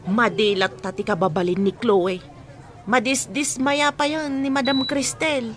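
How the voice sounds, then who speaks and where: A fussy housemaid nags in a sharp, fairly high voice with an Ilocano accent. She is inquisitive and gossipy, in radio comedy style. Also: fairly even intonation, measured pace, some chatter behind, slight background noise. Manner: nagging, inquisitive. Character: fussy, gossipy household maid, cheeky with young mistress.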